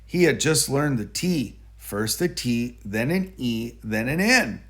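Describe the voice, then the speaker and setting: A man narrating a children's picture book aloud in a deliberate, explanatory manner. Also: medium pitch, animated, a bit bright. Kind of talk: children's storybook read-aloud.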